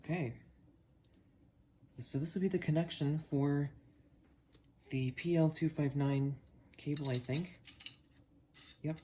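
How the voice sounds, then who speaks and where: Halting hobbyist narrator, noisy recording. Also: medium pitch, dark tone. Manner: halting, thinking aloud while busy with hands. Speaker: hobbyist video creator. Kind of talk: casual unboxing and testing narration. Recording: noisy, with clicks and handling sounds.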